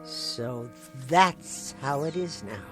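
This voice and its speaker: Weary sarcastic woman, dry, clipped, bitter, unhurried, faint music behind, old television drama. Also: deep voice, expressive. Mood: weary, resigned. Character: bitter, weary, sarcastic niece tending a robot. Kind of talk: 1960s television drama acting.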